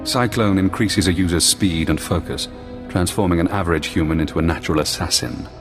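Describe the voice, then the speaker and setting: An actor playing a brilliant, paranoid imprisoned intelligence analyst in a video game, speaking in measured, clipped commands. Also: male voice, low voice. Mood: calculating. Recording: long hall-like reverb, music behind. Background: game music.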